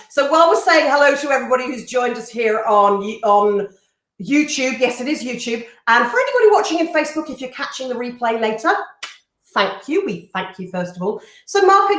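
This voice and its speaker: A woman co-hosts a live stream, chatty and animated and quick to laugh. Her voice sits a little high. The sound has a roomy echo around it. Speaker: co-host. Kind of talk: casual live-stream chat.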